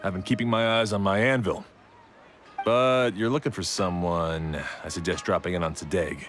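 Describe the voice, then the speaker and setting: A man's voice: low-pitched, fairly even intonation, normal speed, fairly bright tone, soft background music. A man voicing a busy, helpful blacksmith in a video game. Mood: matter-of-fact, helpful. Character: busy, helpful male blacksmith with gruff candour. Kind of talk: scripted game dialogue.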